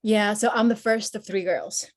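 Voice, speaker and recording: A woman in her forties, born in Peru, speaks as a guest on a podcast. She talks openly and in a personal way, with lots of pauses and fillers, and her pitch sits mid-range for a woman. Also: steady tone. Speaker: guest, Marine veteran and nonprofit founder. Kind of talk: conversational podcast interview.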